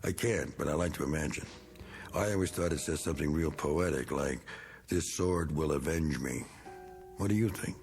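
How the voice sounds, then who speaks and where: Gravelly, low American war veteran. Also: male voice, normal speed, darkish tone, faint music behind. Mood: goading. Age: middle-aged. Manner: folksy, taunting, slangy. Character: needling, bitter male war veteran, rough working man. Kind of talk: 1960s television drama acting.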